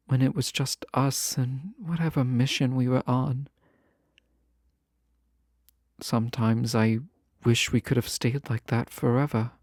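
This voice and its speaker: A man plays a lovestruck fantasy nobleman who talks slowly and haltingly in formal, old-fashioned phrases. He is tender and wistful with a teasing streak. The recording is close and dry, made for a romantic audio drama. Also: medium pitch, a little mellow, dry recording. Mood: wistful, longing. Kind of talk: romantic audio roleplay acting.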